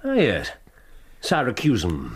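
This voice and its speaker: An actor playing a sharp, cool male detective in a radio drama, speaking in a dry, clipped, sardonic way. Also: medium pitch, wildly swooping pitch, slow pace, slightly rough, exaggerated voice. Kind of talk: BBC radio drama acting.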